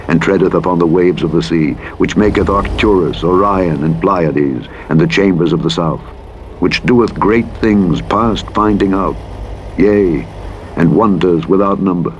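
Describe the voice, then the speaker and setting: A low-pitched male narrator reads scripture in a solemn and formal way. His delivery is measured and deliberate, like an audiobook Bible reading. A faint rumble of vehicle engine noise sits behind the voice. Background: truck simulator engine noise.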